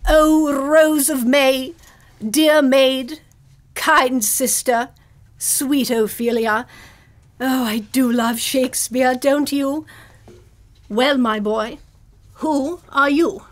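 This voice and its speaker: A woman's voice: sits high, fairly even intonation, drawn-out words, pauses often. A woman playing a genteel, eccentric older stage actress in an old-time radio play, theatrical and florid. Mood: dramatic recital, then genteel, welcoming. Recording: live stage show with music underneath.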